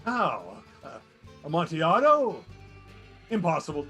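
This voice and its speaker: A man's voice: fairly high pitch, wildly swooping pitch, measured pace, sharp tone, full character voice. A man playing a proud, stubborn drunken reveler with a cold, speaking with theatrical bluster in a period drama. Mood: boastful disbelief. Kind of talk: theatrical period drama acting over video call. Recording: long reverberant echo, music behind.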